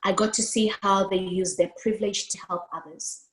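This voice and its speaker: A woman's voice: mid-range voice, normal speed. A woman in her thirties from Kenya with a Kenyan accent, a native Swahili speaker, telling her life story expressively as a guest on a video call. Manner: expressive, gestures with hands while speaking. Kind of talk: storytelling interview answer. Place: room at home, indoors. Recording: roomy video call audio.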